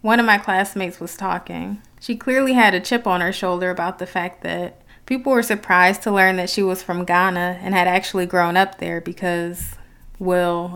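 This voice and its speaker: A woman's voice: lowish voice, fairly bright tone, smooth. A woman from Detroit with an American accent, hosting a reflective solo podcast in an articulate, conversational way. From Detroit, United States. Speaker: podcast host.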